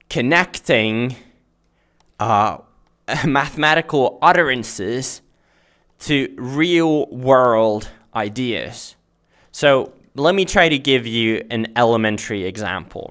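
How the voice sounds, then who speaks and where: Slow, halting man teaching, native Finnish speaker. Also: mid-range voice, fairly bright tone, smooth. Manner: halting, searching for words, often checking with "right?". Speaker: host and math teacher. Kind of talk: informal explanatory lesson. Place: virtual reality chat world.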